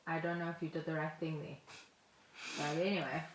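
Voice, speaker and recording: A woman's voice: sits low, flat delivery, a little fast. Woman just chatting on a podcast, playful and informal, roomy echo, bit of noise. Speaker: podcast co-host. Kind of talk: casual conversational chat. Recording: echoey room, faint noise.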